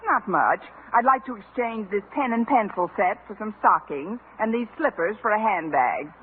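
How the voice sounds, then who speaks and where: An actress playing a wry, sarcastic high school English teacher with deadpan wisecracks in a 1950s radio comedy. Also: female voice, normal speed, lightly acted. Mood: dry, brisk. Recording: narrow, noisy 1950s radio recording.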